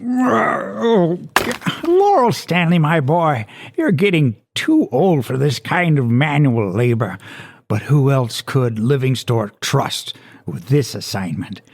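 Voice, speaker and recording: A man playing an excitable, fussy, middle-aged bird scholar in a radio play, muttering and exclaiming theatrically. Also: fairly high pitch, takes it slowly, creaky, full character voice. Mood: fussy, straining. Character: bespectacled tweedy fifty-year-old bird scholar, excitable and fussy.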